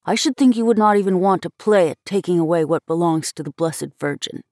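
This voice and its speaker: A woman's voice: fairly low pitch, sing-song, moderate pace, a little mellow, no room sound, clean studio sound. A woman voicing a disdainful, stubborn little boy in a storybook reading, blunt and insistent. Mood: dogged.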